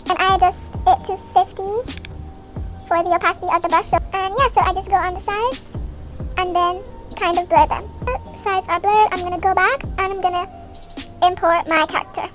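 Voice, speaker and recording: A young woman with a very high, girlish pitch talks through a tutorial in a casual way. Music plays behind her voice-over. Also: fairly even intonation, moderate pace. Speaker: video creator and host. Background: background music.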